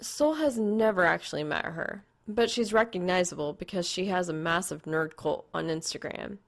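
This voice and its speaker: A woman narrates fan fiction from a script. Her voice sits on the low side and has a clearly creaky, gravelly edge, as she reads the story through. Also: moderate pace.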